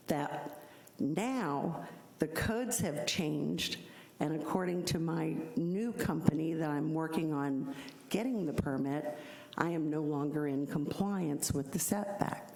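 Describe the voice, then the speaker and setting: A middle-aged woman, an insurance agent, earnestly explaining her case at a city code hearing in a loud voice. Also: low voice, creaky. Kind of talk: public hearing testimony. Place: city hall hearing room. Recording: echoey hall from council mic.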